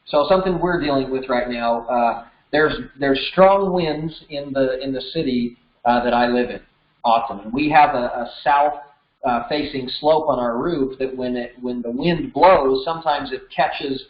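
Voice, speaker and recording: A man's voice: medium pitch, normal speed. An American man in his thirties giving an informal, conversational lesson, explaining things step by step. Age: 30s. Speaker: instructor. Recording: roomy echo, slightly dull sound.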